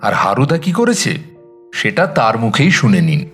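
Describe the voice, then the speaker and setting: A man narrating a dramatised story in a chatty, expressive way, with conversational, teasing asides. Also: mid-range voice, measured pace. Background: background music.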